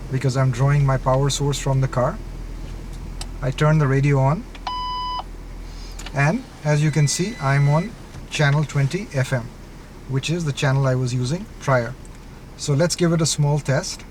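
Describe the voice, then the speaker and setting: Guy doing a casual step by step product demo in his car, voice a bit high, car noise in the back. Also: darkish tone. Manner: explanatory, step-by-step. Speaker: product demonstrator. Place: inside a car. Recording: clear car-interior background noise. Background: vehicle ambience.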